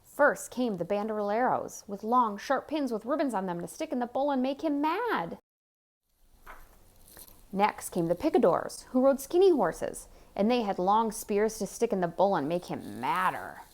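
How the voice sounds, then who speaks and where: A woman's voice: mid-range voice, big pitch swings, moderate pace. A woman reading a children's story aloud expressively, with chatty asides and questions to the young listener. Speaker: picture-book reader.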